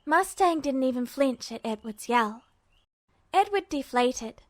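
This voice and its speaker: A woman reading fan fiction aloud as a podfic, with even, attentive storytelling narration. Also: high-pitched, measured pace, dry recording. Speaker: podfic reader.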